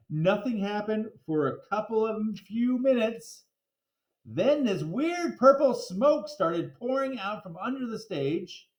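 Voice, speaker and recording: Very animated high-voiced man reading a storybook. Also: roomy sound, rough recording. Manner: theatrical, playful delivery with emphatic drawn-out words. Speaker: teacher reading aloud to a class. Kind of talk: animated children's storybook read-aloud.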